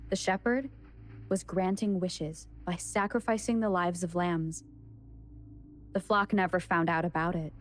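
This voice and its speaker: An actress playing a serious female adventurer in a video game, speaking in a measured, explanatory way. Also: fairly low pitch, steady tone, slight background noise, close to an everyday voice. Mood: serious, deducing.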